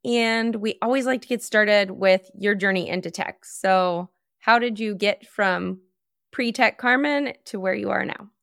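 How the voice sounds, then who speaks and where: A woman's voice: mid-range voice, a little slow, a bit bright, smooth, no room sound, studio quality. A woman hosting a podcast who asks her guest friendly, upbeat questions. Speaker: podcast host. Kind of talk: casual interview.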